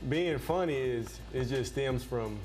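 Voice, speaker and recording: A man's voice: medium pitch, measured pace. A seventeen-year-old man chatting casually and slangily in an interview as a basketball player, often repeating his phrases. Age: teenager, seventeen. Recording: music bed behind the voice.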